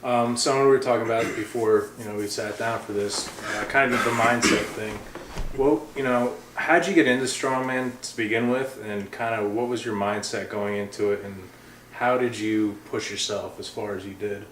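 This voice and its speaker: Lowish-voiced male interviewer, echoey room. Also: fairly even intonation, moderate pace. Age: 30s. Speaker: show host, interviewer. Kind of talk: casual interview chat. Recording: roomy echo, faint noise.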